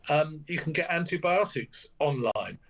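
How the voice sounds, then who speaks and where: A man's voice: on the high side, animated, a little slow, fairly bright tone. An older British man speaking as a doctor in a broadcast interview, candid and emphatic in a conversational way.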